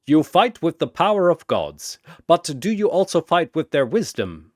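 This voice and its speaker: A man voicing a jovial, wise and sly old brewmaster warrior who talks in measured, ceremonious riddles, as in a fantasy story. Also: fairly high pitch, very animated, no echo, studio quality, voice pushed up. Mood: sly, challenging.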